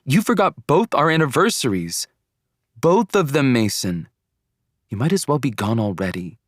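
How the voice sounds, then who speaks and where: A man's voice: lowish voice, expressive, moderate pace, dry recording, clean studio sound. A man voicing a hurt, accusing teenage girlfriend in an audiobook.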